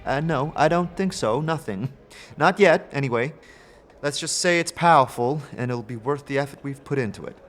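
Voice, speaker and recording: A man's voice: medium pitch, moderate pace, a bit bright, lightly acted. Curt, secretive female engineer , acted by a man, dry and dismissive, fantasy audio drama with reverb and music. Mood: aloof, guarded. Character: brisk, aloof, secretive female magical engineer. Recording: long reverb, music behind.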